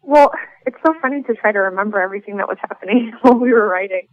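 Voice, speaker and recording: A woman's voice: medium pitch, very fast, no room sound, dull audio. A woman speaking casually as a playwright being interviewed.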